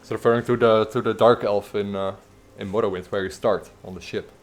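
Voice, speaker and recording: Low-voiced man streaming. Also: soft background music. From Netherlands. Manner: relaxed, halting, many fillers. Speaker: livestreamer. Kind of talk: casual gameplay commentary.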